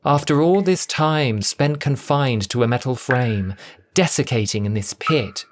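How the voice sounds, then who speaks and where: A narrator reading a scripted story in an expressive, theatrical, dramatic audiobook style. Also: medium pitch, measured pace.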